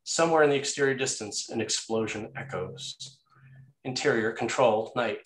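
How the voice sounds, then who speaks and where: A man's voice: mid-range voice, moderate pace, a little hiss or hum. A man reading stage directions as the scripted narrator of a screenplay table read. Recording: echoey, roomy sound.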